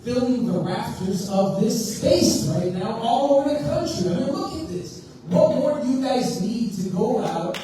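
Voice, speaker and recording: High-voiced guy in the crowd, mellow tone, frustrated and pointed, far off in an echoey hall. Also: animated, measured pace, few pauses, a little hiss or hum. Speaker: audience member at a town hall. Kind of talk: public comment.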